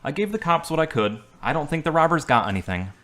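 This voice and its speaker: A man's voice: on the high side, sing-song, fast talker, fairly bright tone. A man acting a wounded young man in a hospital bed, curt and argumentative, coughing between lines. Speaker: lead actor. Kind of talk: amateur radio drama acting.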